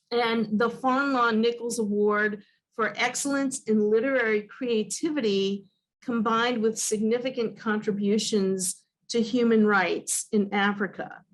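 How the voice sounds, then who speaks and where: A woman's voice: a little high, fairly bright tone, low-quality recording. A woman hosting a reading series over a video call, introducing poets with frequent hesitant fillers. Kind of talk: introducing speakers.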